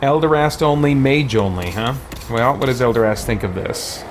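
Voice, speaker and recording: A man's voice: mid-range voice, animated, normal speed. A man thinking aloud in casual game playthrough commentary, muttering to himself with mild exclamations. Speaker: gaming video host. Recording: clear background noise.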